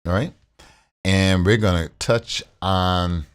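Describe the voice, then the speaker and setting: Man, baritone, very low voice, easygoing gear-review delivery, close studio mic. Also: slow pace, clear, resonant tone. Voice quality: deep baritone. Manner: relaxed, repetitive, uses fillers. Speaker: tech reviewer. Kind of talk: casual tutorial with screen share. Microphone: condenser studio mic.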